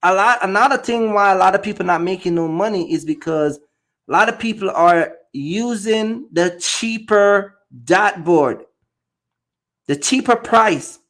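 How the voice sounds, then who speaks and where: A man's voice: high-pitched, a little slow, a bit bright. A man from Jamaica, a box truck driver chatting loosely on a livestream with many fillers and repeated words. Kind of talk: casual livestream chat.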